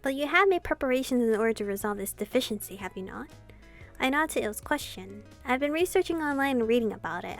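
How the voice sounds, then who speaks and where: A woman streamer reading game dialogue aloud and reacting with casual, expressive commentary. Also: on the high side. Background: game music.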